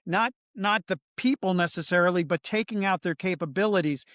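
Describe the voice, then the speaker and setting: A middle-aged man co-hosting a political podcast, talking in a measured, thoughtful way with frequent restarts. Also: sits high, a bit bright, no room sound, studio quality. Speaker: podcast co-host. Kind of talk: political commentary.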